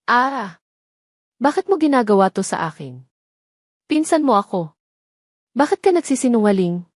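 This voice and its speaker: A woman's voice: on the high side, sing-song, moderate pace, no echo, studio quality. A woman voicing a young mistress who plays the victim in an audio drama, plaintive and tearful. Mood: tearful, pleading.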